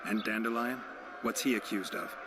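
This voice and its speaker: An actor playing a stern, principled monster hunter in a video game, terse and firm. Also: male voice, lowish voice, moderate pace, background noise, close to an everyday voice.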